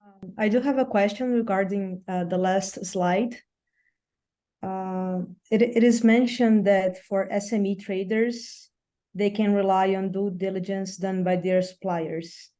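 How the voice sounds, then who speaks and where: A woman's voice: mid-range voice, fairly even intonation, a little slow, dark tone. A woman taking part in an online session, asking a careful, hesitant question. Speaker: webinar participant asking a question.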